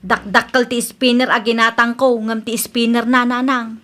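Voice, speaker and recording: A brash, quarrelsome young daughter, acted by a woman in an Ilocano comedy radio drama. Her voice is high and bright, with an Ilocano Filipino accent and a proud, neighborly feuding manner. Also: flat delivery, normal speed, natural voice. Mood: boastful, scheming.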